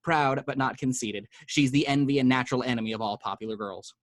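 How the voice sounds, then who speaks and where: A man narrating the action lines of a screenplay at home, with vivid, measured delivery. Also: medium pitch, no echo. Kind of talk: screenplay reading.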